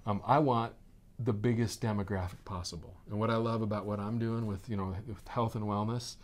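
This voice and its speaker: Low-voiced American man in his forties. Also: steady tone, brisk. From United States. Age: 40s. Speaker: interview guest, network marketing executive. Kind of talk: conversational interview answers.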